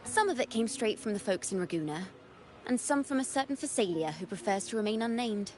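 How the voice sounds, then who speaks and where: An actress voicing a poised, knowing young woman adventurer who recounts events in measured, encouraging video game dialogue. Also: medium pitch, expressive, natural voice. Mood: measured, recounting. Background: game music.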